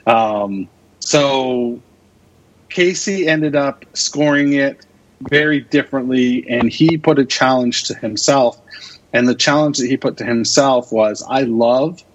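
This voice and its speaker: Halting man on dull lo-fi audio. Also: medium pitch. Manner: halting, thinks aloud in fragments. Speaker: podcast guest, radio drama creator and producer. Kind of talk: interview answers, casual storytelling.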